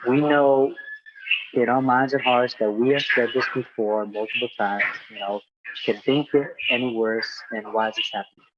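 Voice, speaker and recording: A man's voice: mid-range voice, steady tone, normal speed, dull audio, very noisy. A man from Hungary, guest on an interview, talking reflectively with many fillers and repeated words. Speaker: guest speaker. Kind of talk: casual interview talk.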